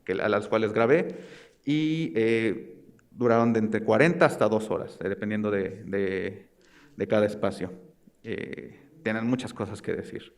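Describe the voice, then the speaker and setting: A young man with a Mexican accent giving an academic research presentation, speaking hesitantly and often searching for words. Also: on the low side. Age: young adult. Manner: hesitant, halting delivery with searching pauses. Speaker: graduate student presenting research.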